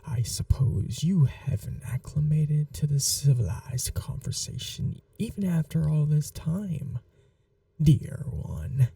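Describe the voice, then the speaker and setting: A woman playing an aristocratic, possessive vampire mistress in a teasing, quietly menacing, breathy, intimate ASMR roleplay, often dropping to a whisper. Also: low voice, lively intonation, dry recording, recorded from a distance, full character voice. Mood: languid, coaxing. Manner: slow, languid, condescendingly affectionate. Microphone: close microphone, dry.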